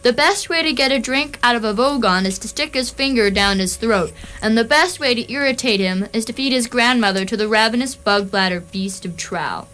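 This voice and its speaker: A woman's voice: on the high side, normal speed, few pauses, bright, forceful tone. A woman voicing a matter-of-fact, drily scornful electronic guidebook in a radio play. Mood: dry, informative.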